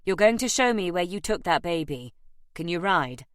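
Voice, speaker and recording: A woman voicing a terse, authoritative gentleman and former army officer in an audiobook novel. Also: lowish voice, expressive, moderate pace, no room sound, clean studio sound, close to an everyday voice. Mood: commanding.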